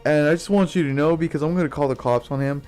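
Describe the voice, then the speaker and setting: A man's voice: fairly high pitch, quick pace, darkish tone, faint music behind, pitched above the performer's own voice, close to an everyday voice. A man voicing a boy who pretends to be a fussy, mock-polite, concerned mother on a prank call.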